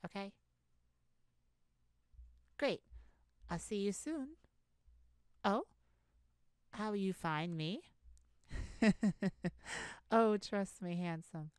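A woman's voice: mid-range voice, drawn-out words, long pauses, no room sound, clean studio sound. A woman playing a charming, glamorous lady thief in a romantic ASMR roleplay, coy and teasing, speaking sweetly to the listener. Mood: coy, inviting. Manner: coy, teasing, leisurely with breathy chuckles. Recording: music behind the voice.

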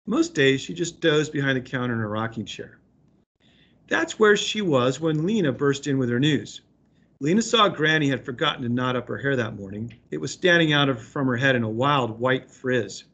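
A man's voice: mid-range voice, animated, moderate pace, fairly bright tone, slightly rough, highs cut off. A man speaking as an elementary school teacher, reading aloud and explaining to a remote class of children in an encouraging way.

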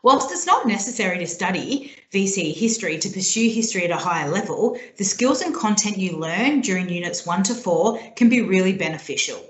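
A woman teacher with an Australian accent giving a steady, clear talk to students about a school subject. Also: medium pitch, a little fast, few pauses. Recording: echoey room.

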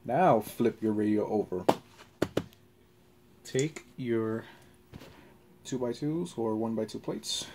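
A man giving a casual hands-on tutorial in halting, short step-by-step instructions. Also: fairly low pitch, animated, noisy background. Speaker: tutorial presenter. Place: carpeted floor in a room at home.

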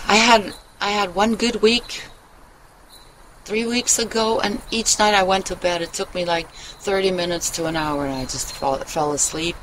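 A woman's voice: mid-range voice, steady tone, moderate pace. A middle-aged woman vlogger chatting casually and confessionally to camera, rambling and candid, with casual swearing. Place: outdoors in a wooden shelter in the woods. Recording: clear outdoor ambient noise.

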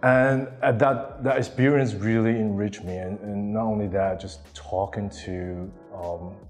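Man in his 30s from Taiwan, very low voice, halting interview answers on a lapel mic, a long reverb tail and faint music behind. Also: steady tone, a little mellow. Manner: hesitant, many ums and restarts. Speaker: interviewee artist.

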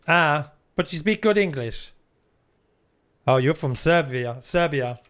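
A man's voice: on the high side, animated, takes it slowly, fairly bright tone, clear, resonant tone. Chatty man streaming a game. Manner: chatty, swears casually, reads chat aloud. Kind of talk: casual live-stream chat.

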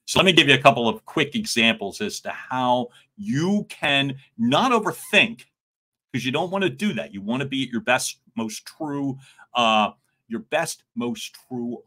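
A middle-aged man coaching live from a room at home, close on a big studio mic. His voice is loud and bright, and it swings widely in pitch as he jokes with his viewers. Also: a little slow. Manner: animated, joking with viewers, loud and expressive. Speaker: communication coach. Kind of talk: livestream coaching talk. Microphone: large studio mic in front.

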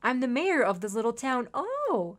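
A woman's voice: fairly high pitch, wildly swooping pitch, moderate pace. A young woman from Mexico with a Spanish accent, streaming a farming game and reading its dialogue aloud, with chatty asides and gasps. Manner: chatty, reads character lines aloud, reacts with gasps.

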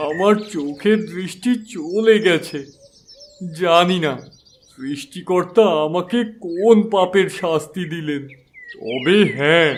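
A man's voice: fairly high pitch, a little hiss or hum. A man playing a pious, wronged holy hermit in a fairy-tale cartoon, solemn, sorrowful and earnest.

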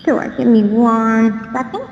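A woman's voice: fairly high pitch, animated, slow pace, darkish tone, slight background noise. A woman working as a receptionist, handling a customer service call on the phone. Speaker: salon receptionist. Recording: muffled phone call echoing through hall.

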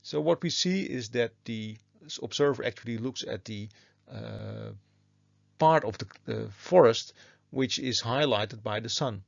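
A man with a mid-pitched, creaky voice delivers an explanatory university lecture. He works through the material methodically, one step after another. The sound is dry and somewhat muffled, as in a recorded slide presentation. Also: a little slow, no room sound.

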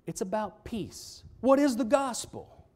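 A middle-aged male pastor with a notably high voice, preaching from a church pulpit. He keeps it conversational, putting questions to the congregation as he goes. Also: expressive, a little slow, a little mellow. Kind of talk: sermon. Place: church sanctuary, standing at a pulpit. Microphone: pulpit microphone, filmed by a fixed camera.

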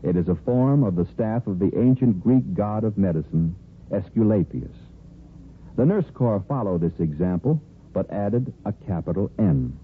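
Low American announcer on tinny old radio. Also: male voice, animated, normal speed, slightly rough. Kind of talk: formal 1950s radio scripted narration. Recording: tinny, faintly hissy old radio recording.

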